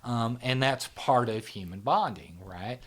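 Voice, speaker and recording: A man's voice: medium pitch, expressive, unhurried, fairly bright tone. Man, middle-aged, host, casual rambling explanation, frequent fillers. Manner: rambling, fills with "right?" and "you know". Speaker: show founder and host.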